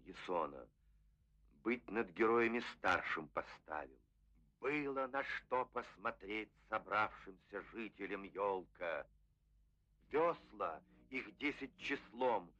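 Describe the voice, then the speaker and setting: A man's voice: fairly low pitch, pushed and bright, full character voice. A man playing an ancient Greek hero who solemnly recalls his voyage in declamatory verse for a cartoon. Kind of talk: epic verse narration in a Soviet cartoon. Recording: dull old film soundtrack with music.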